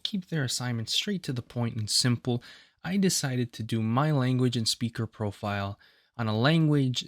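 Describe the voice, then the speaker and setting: A man's voice: medium pitch, no room sound, studio quality. Laid-back young man presenting a project. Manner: relaxed, self-deprecating asides. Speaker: student presenter. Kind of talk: casual class project voice-over. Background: faint guitar music.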